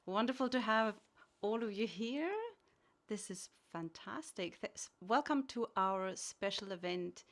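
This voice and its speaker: A woman from Austria, hosting an online meditation event over a video call in a gentle, inviting way, often pausing to rephrase. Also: medium pitch. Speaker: host.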